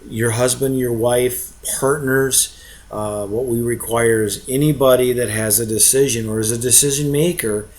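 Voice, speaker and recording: A man giving an informal explainer as an investment presenter, conversational and often checking in with short rhetorical questions. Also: medium pitch. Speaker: real estate investment presenter.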